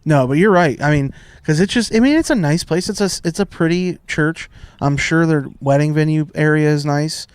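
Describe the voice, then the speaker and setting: A man's voice: a little high, normal speed, hardly any pauses, clear, resonant tone, no room sound. A man in his late twenties co-hosting a casual podcast, teasing and joking. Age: late 20s. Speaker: podcast co-host. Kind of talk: casual chat.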